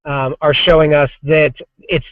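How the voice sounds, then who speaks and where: A man's voice: a little high, little pitch movement, measured pace, no room sound. A man in his forties, a guest on a casual podcast, talking loosely with many fillers and restarts. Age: 40s. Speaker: comics creative director, guest. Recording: dry, dull lo-fi sound.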